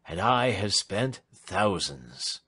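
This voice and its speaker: A languid, moody gentleman of classic fiction, acted by a male reader. He speaks slowly in a sardonic, impatient way, with plenty of movement in his pitch. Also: sing-song, slightly rough, full character voice. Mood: bitter. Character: moody, impatient, debt-ridden gentleman client. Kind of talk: dramatised reading of classic fiction.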